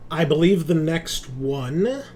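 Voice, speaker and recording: A man's voice: on the high side, a little slow. A man acting as game master, narrating a tabletop roleplaying game in an improvised way. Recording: noisy room with steady background ambience.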